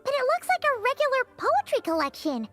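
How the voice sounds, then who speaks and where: A woman voicing a tiny floating female companion in a video game, curious and chatty, with a very high childlike voice and an inquisitive manner. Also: huge pitch swings, fairly bright tone, heavily acted. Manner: inquisitive, quick to question. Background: game music.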